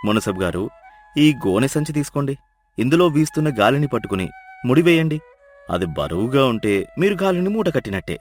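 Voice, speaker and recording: A man voicing a clever, humble donkey herder who speaks softly and persuasively in a Telugu moral story. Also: mid-range voice, very animated, soft background music, close to an everyday voice. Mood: gently goading. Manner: soft, measured, gently persuasive.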